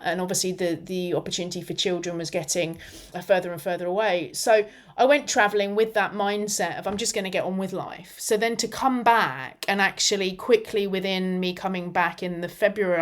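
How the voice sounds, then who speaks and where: A woman in her forties, a radio interview guest, telling a personal story in an animated, reflective way. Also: on the low side. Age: 40s.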